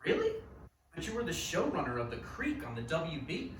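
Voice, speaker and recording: A man playing a friendly, easygoing coworker of thirty-six, casual and animated in a comedic table read. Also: on the high side, moderate pace. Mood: chatty. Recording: echoey, noisy room, distant mic.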